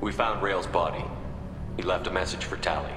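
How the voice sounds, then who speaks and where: A man's voice: low voice, normal speed, natural voice. Man acting a blunt commander, echoing hall. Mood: grave. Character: resolute male military starship commander, blunt and protective. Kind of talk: video game dialogue. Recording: echoey hall, music behind.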